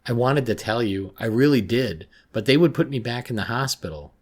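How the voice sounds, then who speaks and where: A man's voice: fairly low pitch, expressive, moderate pace, husky, pitched above the performer's own voice. Haunted schoolgirl, frightened and pleading, voice pushed well up by a male narrator, for a horror story. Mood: distraught, pleading. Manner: pleading, emphatic, agitated. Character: frightened, distraught teenage schoolgirl haunted by nightmares.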